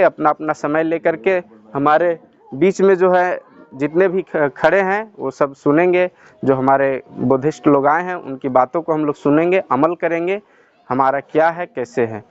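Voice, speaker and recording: A man's voice: a little high, smooth, highs cut off. A man acting as moderator, introducing speakers at a public gathering. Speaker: event moderator.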